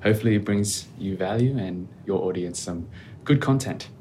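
Calm low-voiced man. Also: a little hiss or hum. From Australia. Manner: calm, reflective and measured. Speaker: podcast guest. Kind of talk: casual interview conversation.

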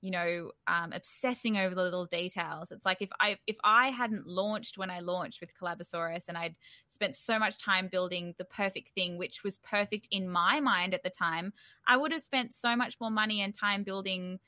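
A woman's voice: mid-range voice, steady tone, moderate pace, sharp tone, no room sound. A woman speaking candidly and informally as a guest in a casual interview, with an encouraging way of talking. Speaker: startup founder, interview guest.

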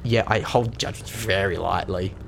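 Low gravelly man with a cold. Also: fairly even intonation, moderate pace, slight background noise. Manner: earnest, rambling, many restarts. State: has a cold, voice deeper than usual. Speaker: podcast co-host. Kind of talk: reflective casual chat.

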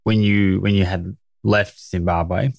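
A man's voice: low-pitched, fairly even intonation, takes it slowly, gravelly, a little mellow, dry recording, studio quality. A man hosting a podcast, asking questions with enthusiasm and frequent stumbling restarts. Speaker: podcast host. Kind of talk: interview questions.

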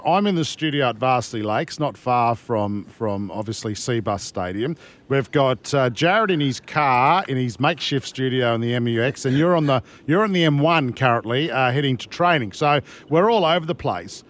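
A man's voice: medium pitch, expressive, normal speed, fairly bright tone. A middle-aged man hosting a radio show from the studio, jovial and matey. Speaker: radio host. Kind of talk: casual radio interview.

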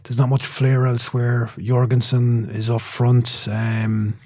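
A man with a husky, fairly flat voice, recorded close and dry. He chats casually as a betting guest and rambles through fillers as he works out his views. There is little rise and fall in his speech. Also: medium pitch, slow, no echo. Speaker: betting trader, podcast guest. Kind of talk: casual sports chat.

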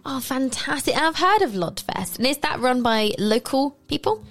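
Woman radio host, chatty, laughs a lot, slightly hoarse, faint music under. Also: sits high, sing-song, normal speed. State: slightly losing her voice. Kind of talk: casual radio interview.

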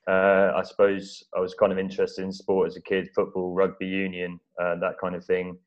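An English man from East Anglia giving measured, modest, somewhat hesitant conversational answers as an interview guest. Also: low voice, completely flat delivery. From East Anglia, England. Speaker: interview guest, documentary film-maker. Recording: dull, lo-fi audio.

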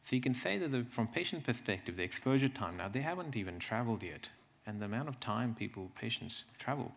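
A man giving a slide presentation, explaining things in a halting way with repeated words and asides. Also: mid-range voice, lively intonation, gravelly. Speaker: presenter from a health service.

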